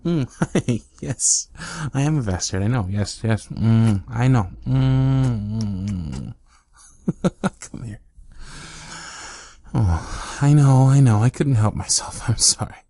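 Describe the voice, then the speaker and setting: A man speaking as a boyfriend in an ASMR roleplay, soft, intimate and teasing, close to the microphone. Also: medium pitch, expressive, takes it slowly, pauses often, dry recording.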